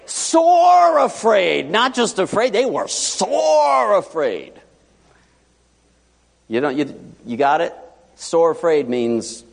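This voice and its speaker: A male pastor preaching a sermon in a church, animated and joking, with sudden loud and hushed asides. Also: high voice, vocal fry. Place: church sanctuary. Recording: long hall reverb.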